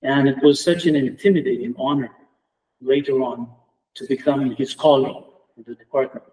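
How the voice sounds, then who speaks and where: A middle-aged man from Romania with a Romanian accent, a native Romanian speaker giving a reflective memorial tribute as an English department lecturer, measured and halting with restarts. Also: mid-range voice, fairly even intonation, mellow, far from the mic, rough recording. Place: lecture room at a memorial round table.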